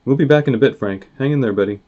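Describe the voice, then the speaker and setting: A man's voice: mid-range voice, rapid-fire, pitched above the performer's own voice, lightly acted. A man voicing a bold, earnest moose hero in a storybook, urgent and take-charge. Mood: reassuring. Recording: dull, muffled lo-fi sound.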